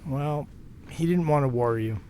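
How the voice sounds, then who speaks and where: A man's voice: a little high, moderate pace, dark tone, slight background noise, natural voice. A man playing a frank, protective married mill worker and former lover in an audio drama, talking in a plain, reassuring, conversational way. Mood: frank, consoling.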